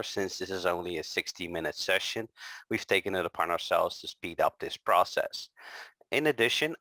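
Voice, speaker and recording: A man co-presenting a technical session, friendly, often pausing with ums and uhs. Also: deep voice, bright, forceful tone, no room sound. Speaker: conference co-presenter. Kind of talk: scripted tech presentation.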